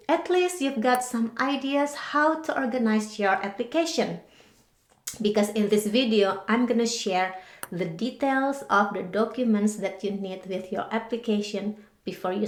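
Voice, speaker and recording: A young woman whose first language is Indonesian, calmly explaining a paperwork walkthrough step by step in a vlog. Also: medium pitch. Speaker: vlogger. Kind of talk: walkthrough tutorial.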